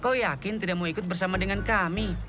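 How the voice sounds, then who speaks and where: An actor voicing a clever, helpful twelve-year-old inventor boy in an animated children's comedy. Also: medium pitch, fast, background noise, close to an everyday voice. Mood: concerned.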